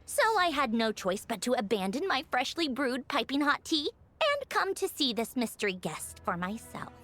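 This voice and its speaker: A woman's voice: sits high, big pitch swings, moderate pace, a bit bright, full character voice. A woman playing a haughty, refined noblewoman in a video game, dryly gracious with a teasing manner. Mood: haughty, put-upon. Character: haughty young noblewoman villain-turned-ally, dryly gracious.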